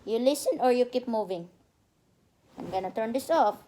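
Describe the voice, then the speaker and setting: Mom reading a bedtime book to her toddler, kinda high soft-toned voice, takes it slow, teacherly. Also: animated, slight background noise. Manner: instructive, prompting with questions. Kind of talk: bedtime story read-aloud with chat to a child. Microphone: phone camera.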